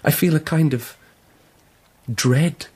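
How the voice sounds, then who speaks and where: An actor playing a restless, earnest emperor in a radio drama, speaking formally and haltingly. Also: male voice, fairly high pitch, a little mellow, slightly rough. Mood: troubled, hesitant. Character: restless young Japanese emperor, earnest and searching.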